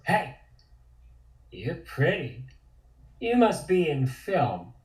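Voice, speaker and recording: Man playing a boisterous, slurring drunk, swooping pitch. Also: wildly swooping pitch, drawn-out words, heavily acted. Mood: brash, friendly. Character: very drunk, jovial, overfamiliar man pushing free drinks. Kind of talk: comic table-read acting. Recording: roomy, distant microphone.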